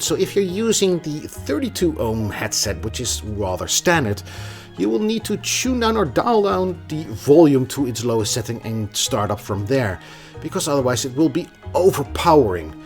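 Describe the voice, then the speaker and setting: Male tech reviewer, lively varied pitch, mid-range voice, conversational, emphatic, faint music behind. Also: moderate pace. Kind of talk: scripted product review with casual asides.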